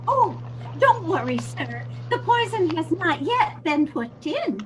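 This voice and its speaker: Casting: sweet, tipsy old lady played by a woman, very high lilting voice, unhurried, dainty, stage comedy. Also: big pitch swings, noisy background, heavily acted. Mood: reassuring. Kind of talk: comedic readers theater acting.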